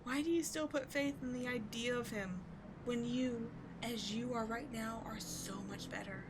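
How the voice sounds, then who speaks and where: A woman's voice: on the high side, normal speed, heavy background noise, natural voice. A woman playing a bitter, passionate villain with secret devotion, speaking in a theatrical, intense, emphatic way in a dramatic audio roleplay. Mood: reluctant, tender. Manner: theatrical, intense, emphatic, with sighs and dropped whispers. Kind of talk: dramatic audio roleplay monologue addressed to the listener.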